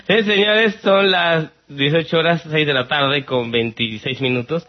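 A man's voice: a little high, takes it slowly. A Mexican man with a playful, theatrical way of talking, chatting casually as a podcast host answering listener questions. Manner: theatrical, mock-exasperated, playful. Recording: dull lo-fi radio sound, music behind. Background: music.

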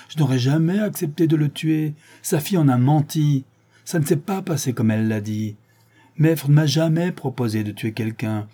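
A desperate accused man in a courtroom story, as a male reader puts him on, his voice pitched up and straining with indignation. The tone stays dark and husky underneath. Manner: agitated, raised protesting voice.

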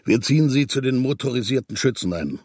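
An actor playing a domineering, sardonic East German army officer in a radio drama, rough-voiced and officious. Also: male voice, mid-range voice, expressive, brisk, a little mellow, a little hiss or hum, lightly acted. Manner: officious, menacing.

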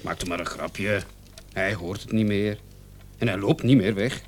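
A man's voice: fairly low pitch, moderate pace, close to an everyday voice. Actor as an eager young policeman, noisy recording. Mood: wry, amused. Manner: inquisitive, excitable, needling. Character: eager, nosy young police subordinate, Bright. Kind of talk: Dutch radio play acting. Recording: noisy old radio play recording.

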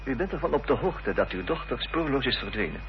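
An actor playing a determined gentleman investigator, formal and reasoning, in a period radio drama. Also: medium pitch, sing-song, a little fast, vocal fry. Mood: grave, direct. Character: earnest, determined gentleman investigator in Victorian mystery. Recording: dull, noisy, humming old radio recording.

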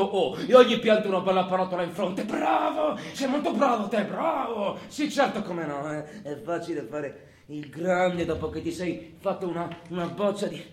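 A man's voice: high voice, reverberant. An actor playing a bitter, boastful working-class man in a colloquial, sardonic theatrical monologue. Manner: colloquial, sardonic, swinging from muttering to shouting.

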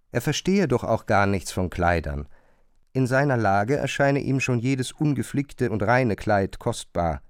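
A man narrating a literary radio play in a measured, precise way. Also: mid-range voice, no echo, clean studio sound.

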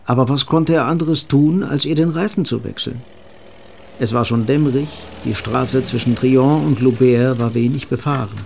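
A man's voice: medium pitch, moderate pace, gravelly, a little hiss or hum. A man delivering scripted literary narration for a radio drama.

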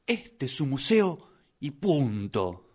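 Un hombre con acento rioplatense interpreta en un radioteatro aficionado a un guía de museo serio, regañón y didáctico, de habla enfática. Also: male voice, medium pitch, very animated, slow pace. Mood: indignant. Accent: Rioplatense Argentine. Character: earnest, scolding, didactic museum guide. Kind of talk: amateur radio play rehearsal.

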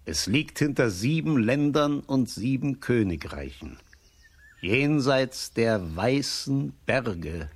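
Slow, husky, solemn old wise man. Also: medium pitch, heavily acted. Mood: solemn, prophetic. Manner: slow, deliberate, expressive, solemn. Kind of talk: children's radio play acting.

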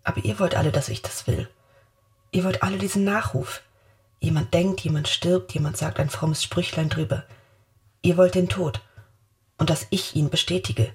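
An actress playing an agitated, defiant writer in a German radio drama, speaking with halting, emphatic insistence. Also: female voice, lowish voice, steady tone, natural voice. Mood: defiant, threatening. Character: agitated, defiant woman writer lecturing intensely on mathematics. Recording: music behind the voice.

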